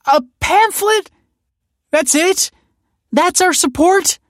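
A man voicing an indignant, distraught mother with a shrill, tearful delivery in an audiobook. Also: slow, a bit bright, dry recording, clean studio sound, full character voice. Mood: outraged. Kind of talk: audiobook character acting.

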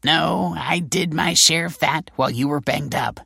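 A world-weary female detective speaks with dry, sardonic calm, voiced by a male audiobook narrator. The voice sits higher and brighter, with a gravelly, husky grain. The sound is close and dry. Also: moderate pace, no echo, heavily acted. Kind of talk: audiobook character voice.

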